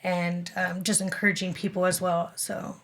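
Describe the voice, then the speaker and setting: Female community club guest, casual interview talk, earnest and slightly halting, mid-pitched. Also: flat delivery, a bit bright. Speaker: amateur radio club guest.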